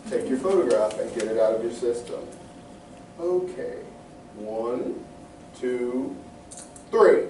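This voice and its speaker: A man's voice: mid-range voice, expressive, slow, pauses often, dark tone, far from the mic. A young man playing a formal, long-winded radio announcer, giving a scripted comic announcement on stage. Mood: wry, instructing. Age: young adult. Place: live stage in a community playhouse. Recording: echoey hall from afar, faint noise.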